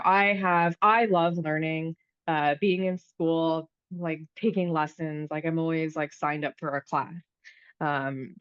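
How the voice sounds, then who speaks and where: A woman's voice: deep voice, no echo, dull audio. Chatty American woman in her thirties. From United States. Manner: chatty, with many fillers and restarts. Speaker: podcast host. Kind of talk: casual conversation.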